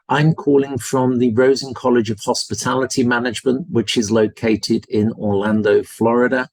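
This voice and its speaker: Low-voiced British man presenting. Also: vocal fry, a little mellow, husky, no room sound. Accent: British English. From United Kingdom. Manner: polite, enthusiastic promotional tone. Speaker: university representative. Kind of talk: webinar presentation. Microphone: video call.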